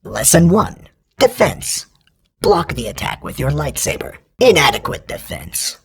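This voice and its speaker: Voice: medium pitch, measured pace, gravelly, breathy edge, full character voice. An actor voicing an emotionless training droid in a video game, giving formal, clipped combat instructions through robotic processing. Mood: stern, procedural. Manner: formal, procedural, deadpan announcements. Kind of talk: scripted video game voice lines.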